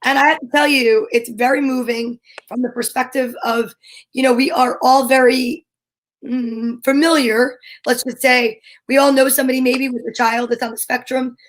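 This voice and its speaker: A woman co-host with a notably high voice talks casually. She explains things in a conversational way, working out her thoughts aloud. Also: fairly even intonation, moderate pace, rough recording. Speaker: co-host of a comedy talk show. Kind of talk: casual chat.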